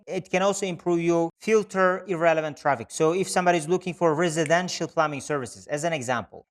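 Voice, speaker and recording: A man who speaks as a narrates a screen-share tutorial as a specialist presenter, explaining methodically step by step. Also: fairly high pitch.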